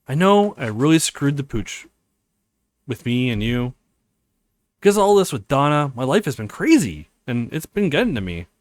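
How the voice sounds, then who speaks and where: A man's voice: mid-range voice, dry recording, natural voice. A man playing an apologetic, earnest young male college student in a visual novel, with a pleading, sincere manner.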